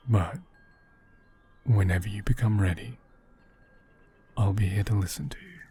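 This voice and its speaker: A man acting as a gentle, protective male caretaker in an ASMR roleplay. His voice is low and mellow, breathy and often whispered. He speaks very slowly, with tender, soothing reassurance. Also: a little hiss or hum, close to an everyday voice. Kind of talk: soft-spoken ASMR roleplay, partly whispered.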